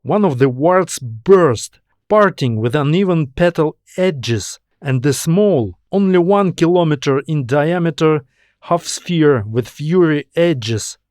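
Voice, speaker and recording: Male narrator, unhurried pace, medium pitch, dramatic science fiction storytelling, dry close-mic sound. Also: darkish tone, no room sound. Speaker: author and narrator.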